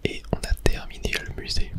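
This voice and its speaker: A person whispering casual ASMR game commentary, chatting and thinking aloud in a soft, breathy, unvoiced whisper. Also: fairly quick. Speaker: gaming video host.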